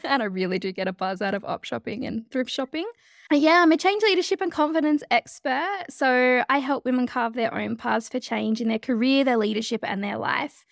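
A woman with an Australian accent, an energetic and bubbly podcast guest who often laughs while chatting casually about her work and life. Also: sits high, brisk, gravelly, no echo, clean studio sound. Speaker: guest, change leadership expert. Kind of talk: casual interview chat.

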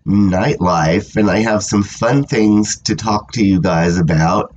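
A man hosting a podcast and chatting casually in a sassy, animated way, swearing often and sounding stuffy from a cold. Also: lowish voice, takes it slowly, highs cut off, slight background noise. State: stuffy with a cold, sore throat. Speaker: podcast host. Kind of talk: casual chat.

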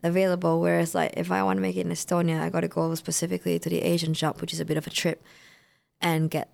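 Gravelly low-voiced woman. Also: flat delivery, fast talker, a little mellow. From Singapore. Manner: chatty, fills speech with "like", quotes people animatedly. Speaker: guest. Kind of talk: casual chat.

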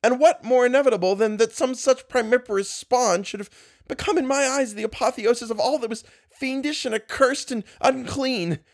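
A man voicing a tormented, disfigured archaeologist whose voice turns shrill and frantic. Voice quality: shrill, rising to shrieks. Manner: frantic, anguished pleading.

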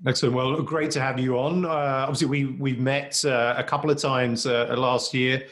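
A man hosting a business podcast, at a middling pitch for a man. He rambles and fills his questions with many ums and false starts. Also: steady tone, normal speed, a bit bright. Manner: rambling, many fillers and stumbles. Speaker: podcast host. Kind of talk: podcast interview.

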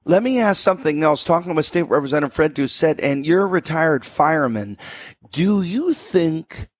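Talk radio host with a dry studio sound. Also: male voice, fairly high pitch, animated, moderate pace, no echo. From Alaska. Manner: conversational, opinionated, asks long questions. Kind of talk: political talk radio interview.

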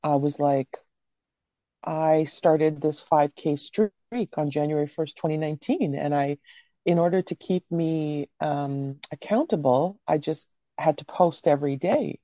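Low, dark-voiced candid woman. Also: steady tone, a little slow, dry recording, lo-fi sound. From Canada. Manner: candid, rambling storyteller with casual swearing. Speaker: podcast guest. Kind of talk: casual interview chat.

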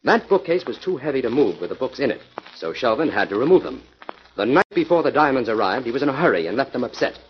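Man acting an animated, confident radio sleuth. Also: mid-range voice, fast talker, a little hiss or hum, close to an everyday voice. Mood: assured, explanatory. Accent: American. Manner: assured, crisply explanatory. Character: confident, analytical male crime-solver explaining his case. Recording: dull 1940s radio recording.

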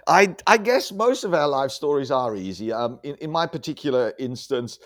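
A man's voice: mid-range voice, lively intonation, moderate pace. A middle-aged man from Germany, a physician, talking reflectively and conversationally as an interview guest, explaining things carefully and often repeating words. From German, raised abroad. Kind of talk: conversational life-story interview.

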